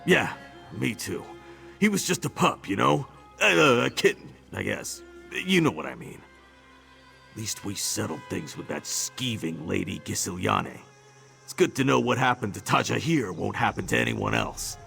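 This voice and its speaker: An actor voicing a gruff male orc mercenary in a video game, talking in a rough, wry, offhand way. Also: fairly low pitch, animated, normal speed, fairly bright tone, soft background music, exaggerated voice. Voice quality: gravelly. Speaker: game character.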